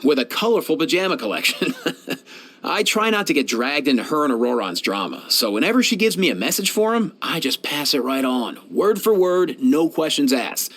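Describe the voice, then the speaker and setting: Easygoing young male game vet, kind and slangy, lightish pitch, very animated. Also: normal speed, exaggerated voice. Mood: relaxed, friendly. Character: laid-back, kindly young male veterinarian. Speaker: video game character voice actor. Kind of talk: game voice lines, conversational.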